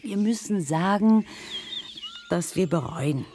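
A woman's voice: on the low side, expressive, takes it slowly, dark tone, a little hiss or hum. An actress playing a distressed, plaintive woman trapped and accused in a radio play, speaking anxiously and pleadingly. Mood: subdued, remorseful. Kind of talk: German radio play acting.

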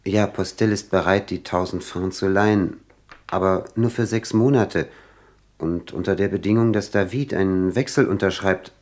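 An actor playing an eager, ambitious young poet in a radio drama, fervent and theatrical. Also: male voice, low-pitched, normal speed, darkish tone, close to an everyday voice. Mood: explaining uneasily. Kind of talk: German radio drama acting.

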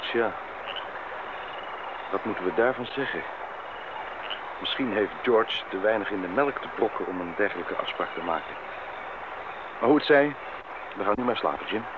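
Brief: man playing calm jungle expedition leader, low pondering voice, old radio play, heavy hiss. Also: moderate pace, pauses often, close to an everyday voice. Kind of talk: old radio drama acting. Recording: muffled, very noisy old radio recording.

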